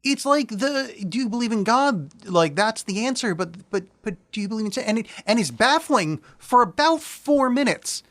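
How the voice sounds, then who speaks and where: A man's voice: high voice, animated, moderate pace, few pauses, fairly bright tone. A man from the Philadelphia area with a nasal voice, co-hosting a podcast and mocking a bad movie with sarcastic, profane remarks. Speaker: podcast co-host. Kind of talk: casual chat reviewing a movie.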